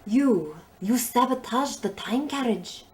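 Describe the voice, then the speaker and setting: A woman's voice: fairly high pitch, animated, slow, darkish tone. A woman playing a proud, honorable warrior from the far future, speaking formally and dramatically in an audio drama. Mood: indignant.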